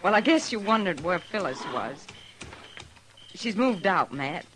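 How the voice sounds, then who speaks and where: A guarded frontier ranch woman, polite but uneasy. Her restrained radio western delivery comes through a clearly noisy vintage recording. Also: lowish voice, sing-song, measured pace. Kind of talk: 1950s radio western acting.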